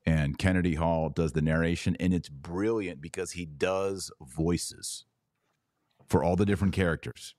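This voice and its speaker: A man's voice: sits low, darkish tone, no room sound, studio quality. A man hosting a podcast, chatting casually with an informal, enthusiastic manner. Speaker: podcast host. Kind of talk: casual chat.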